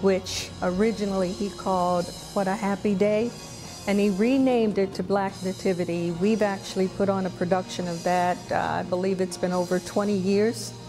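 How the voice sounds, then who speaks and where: A woman explaining history in a documentary interview, deliberate and clear. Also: mid-range voice, fairly even intonation, over music.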